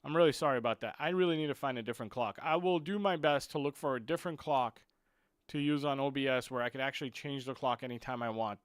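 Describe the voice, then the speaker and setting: Excitable football streamer with a dry close sound. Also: male voice, medium pitch, dry recording. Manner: frustrated, excitable rants about players; muttering while fixing stream clock. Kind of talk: live stream match commentary.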